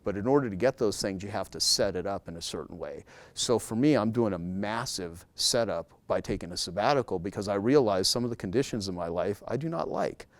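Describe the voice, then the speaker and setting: Man, interview guest, emphatic, repeats words for stress, low-ish voice, dry close recording. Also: lively intonation, a little fast, no room sound. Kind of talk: casual conversational interview.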